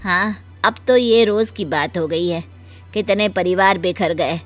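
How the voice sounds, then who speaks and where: A woman voicing a sympathetic, supportive male doctor in a cartoon moral story, speaking gently. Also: fairly low pitch, measured pace, soft background music, close to an everyday voice. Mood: sympathetic, resolute.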